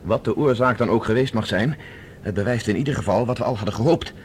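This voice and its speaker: An actor playing a level-headed, decisive man in a science fiction radio drama, calm and commanding. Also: medium pitch, fast, natural voice. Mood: reasoning. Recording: faintly noisy radio play recording.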